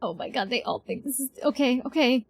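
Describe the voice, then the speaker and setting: A woman's voice: sits high, brisk, creaky. A woman streamer giving casual, playful live reactions to a game, often repeating short phrases. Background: game music.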